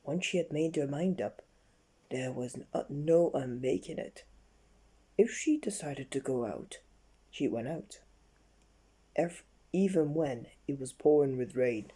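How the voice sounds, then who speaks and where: Husky, mellow bedtime story reader. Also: mid-range voice. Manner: halting reading with slips.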